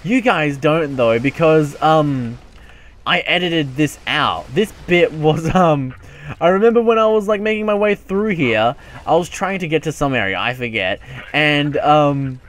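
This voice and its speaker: A man's voice: on the high side, animated, unhurried. A man giving casual let's play commentary as a gaming YouTuber, rambling and self-mocking, often muttering to himself.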